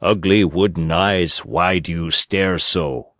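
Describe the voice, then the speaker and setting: A man's voice: deep voice, takes it slowly, a bit bright, no echo, pitched below the performer's own voice, exaggerated voice. A man voicing a poor old woodcarver father who is easily exasperated, scolding and lamenting in a storybook audiobook. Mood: hurt, grieved.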